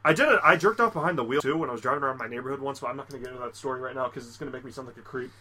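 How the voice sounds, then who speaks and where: Bright-voiced young man, rambling and crude. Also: mid-range voice, rapid-fire. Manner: rambling, laughing, slips into mock voices for quoted people. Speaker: podcast co-host. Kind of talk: crude casual chat.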